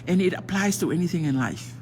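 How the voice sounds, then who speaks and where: A woman's voice: lowish voice, lively intonation, fast talker, darkish tone, a little hiss or hum. A middle-aged Tongan woman whose first language is Tongan, giving an earnest motivational talk on a phone livestream and often asking herself rhetorical questions. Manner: earnest, asks rhetorical questions and answers them; switches between languages mid-sentence. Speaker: livestream host.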